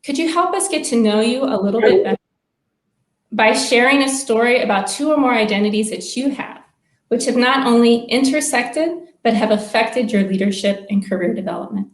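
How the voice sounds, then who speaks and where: A woman moderating a panel over a video call, leading the talk in a measured, clear way. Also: on the high side. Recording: echoey room sound.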